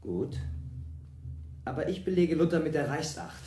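Radio role: scheming young emperor, soft dark tone, clipped lines, roomy echo. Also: male voice, a little high, sing-song, measured pace, slight background noise. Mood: cajoling. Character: young emperor bargaining like a wheedling nephew. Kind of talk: German radio play acting. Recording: echoey, reverberant room.

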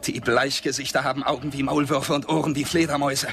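Guy acting a proud vengeful comanche warrior, gravelly, declaims slow threats, radio play with a crowd behind. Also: medium pitch, fairly even intonation, lightly acted. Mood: menacing. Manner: solemn, declamatory, menacing. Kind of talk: German radio drama acting.

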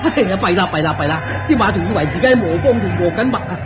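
A man playing an excitable, fussing chancellor of a royal court in a comic radio drama scene. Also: high-pitched, rapid-fire. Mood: panicked. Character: flustered male chancellor of a court. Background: music.

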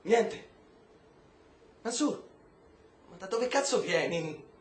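Swaggering high-voiced streetwise prisoner. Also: male voice, lively intonation, slow. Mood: sardonic, bitter. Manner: slangy, swearing, swaggering. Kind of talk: stage-style radio drama acting. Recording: roomy stage sound with faint noise.

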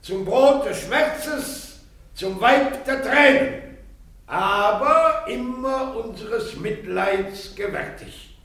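Solemn church judge, declaiming, high creaky voice, strong echo, radio drama. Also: male voice, lively intonation, very slow, a bit bright, heavily acted. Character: solemn, pompous church judge pronouncing sentence. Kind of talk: German radio play acting. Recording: very echoey hall.

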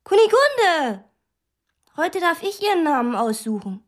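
A woman's voice: very high voice, sing-song, a little slow, full character voice. A woman playing a defiant, morbid little girl in a German radio play, speaking in a solemn, contrary way. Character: defiant, morbid little sister in a wartime nursery.

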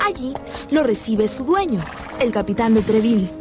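A woman's voice: fairly high pitch, animated, moderate pace. A woman narrator delivering scripted, dramatic narration for a radio drama. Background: dramatic music.

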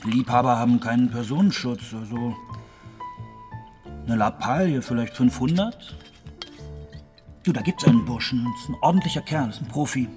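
Schauspieler als kumpelhafter, trinkfreudiger Kioskbesitzer mit Musik. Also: male voice, medium pitch, moderate pace, pauses often, music in the background, natural voice. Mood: confidential. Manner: chummy, boisterous. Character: jovial hard-drinking kiosk keeper, fixer old schoolmate. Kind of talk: German radio drama dialogue.

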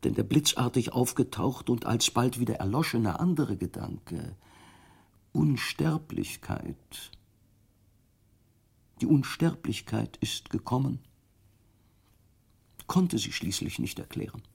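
A man narrating a literary radio drama in a grave, hushed storytelling manner. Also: medium pitch, expressive, takes it slowly, vocal fry, pauses often, slightly rough. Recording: radio play with faint music.

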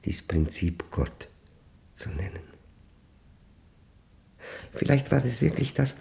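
An actor playing a lonely, orderly male mathematics professor in a German radio play monologue, speaking standard German in a reflective, pedantic, halting and self-questioning manner. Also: on the low side, gravelly, a little mellow, full character voice. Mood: wistful.

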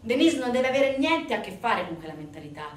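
Roomy distant recording, higher-voiced woman, theatrical storyteller, emphatic phrasing, deliberate pauses, hushed-to-forceful swings. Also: sing-song. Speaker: stage actress and narrator. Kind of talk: dramatic theatrical monologue storytelling. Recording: distant, echoey room recording with faint noise.

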